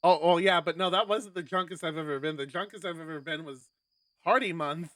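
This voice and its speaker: Mid-pitched game streamer, animated and rambling, swears freely, reads aloud between banter, dry close recording. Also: brisk, dry recording. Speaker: streamer reading a visual novel aloud. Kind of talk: casual live game stream banter and narration.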